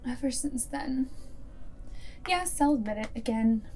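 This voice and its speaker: A woman playing a guarded but secretly fond vampire girlfriend and wartime spy in a soft, whispered ASMR roleplay, speaking deliberately close to the microphone. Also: sits high, lively intonation, soft background music, poor audio. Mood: reproachful, fond. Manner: hushed, deliberate, often dropping into whisper.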